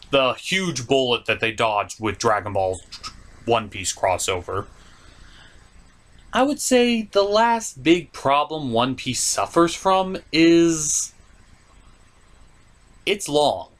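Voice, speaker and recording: A man's voice: mid-range voice, big pitch swings, slow pace, long pauses, a bit bright. A man delivering comedic scripted review commentary as host, in a theatrical, opinionated manner.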